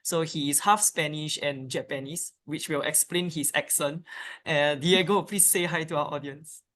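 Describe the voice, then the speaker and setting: This host runs a live webinar in an upbeat way, with lots of ums between points. The voice sits at a middling pitch. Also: steady tone, normal speed, a bit bright.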